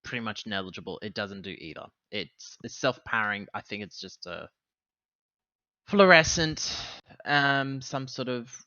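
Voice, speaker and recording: A man playing a tabletop game over a voice call, talking casually and haltingly as he thinks out loud. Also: on the low side, lively intonation, no room sound, dull audio. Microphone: online voice call.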